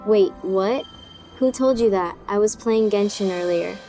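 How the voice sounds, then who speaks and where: A woman's voice: mid-range voice, measured pace, warm tone. A woman chatting casually with friends in a gaming voice chat. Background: music.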